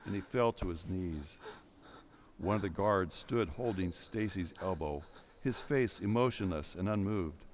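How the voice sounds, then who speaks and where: A man's voice: deep voice, a little slow, slight background noise. A man reading scripted narration for an audio drama in a dramatic storytelling style.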